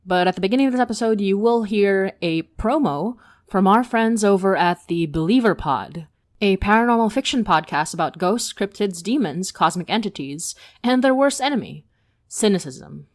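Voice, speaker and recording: A woman's voice: mid-range voice, expressive, normal speed, no room sound, clean studio sound. A woman reading scripted announcements as a podcast announcer.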